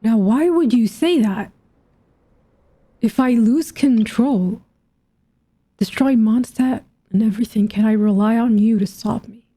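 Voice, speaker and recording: A woman's voice: a little high, a little slow, long pauses, warm tone, breathy edge. A woman streamer giving casual game commentary, thinking aloud in a musing, questioning, informally sweary way. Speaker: gaming streamer reacting to game story.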